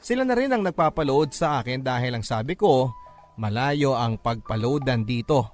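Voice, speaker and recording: A man's voice: mid-range voice, animated, moderate pace. A man with a Filipino accent narrating an emotional first-person story in radio drama style. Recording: music under the voice.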